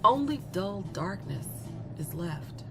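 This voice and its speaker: A woman's voice: mid-range voice, very animated. A woman playing a gleeful, remorseless young killer in a horror audio story, chatty and flippant, stretching words for emphasis. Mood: musing. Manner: conversational, flippant, giggly, with drawn-out emphasis. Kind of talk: dramatic first-person monologue in a horror audio story. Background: music.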